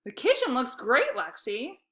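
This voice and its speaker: A woman's voice: high voice, wildly swooping pitch, a little slow, sharp tone, pitched above the performer's own voice, heavily acted. A woman voicing a puzzled, matter-of-fact dad in a children's storybook. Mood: approving.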